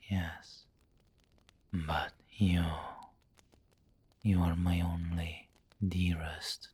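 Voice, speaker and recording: A man playing a refined, possessive vampire lord who dotes on the listener in an intimate, whispery ASMR roleplay, speaking formally and deliberately. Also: deep voice, a little mellow, full character voice. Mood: tender, possessive. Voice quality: breathy, whispery, husky. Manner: slow, deliberate, formal, pausing mid-phrase. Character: aristocratic male vampire lord, possessive, doting, refined and snobbish.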